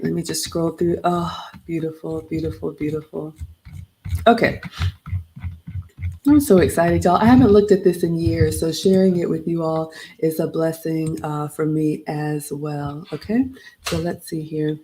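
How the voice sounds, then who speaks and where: Low-voiced woman from Ghana, chatty. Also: fairly even intonation, measured pace, dark tone, slight background noise, rough recording. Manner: chatty, greets viewers by name. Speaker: vlog host. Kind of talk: casual livestream travel recap.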